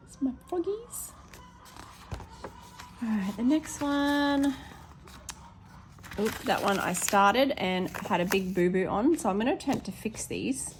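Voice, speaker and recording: Australian woman, slightly hoarse, casual chat, ums, faint room hiss. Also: fairly high pitch, lively intonation, slow pace, long pauses. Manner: chatty, many ums, corrects herself. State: slightly hoarse, losing her voice. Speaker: teacher showing her colouring pages. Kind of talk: casual flip-through chat.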